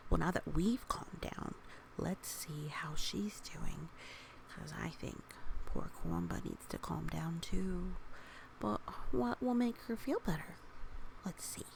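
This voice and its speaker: A woman reading a picture book aloud for young children, with gentle, expressive, chatty asides and questions. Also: on the low side, vocal fry, slight background noise, rough recording.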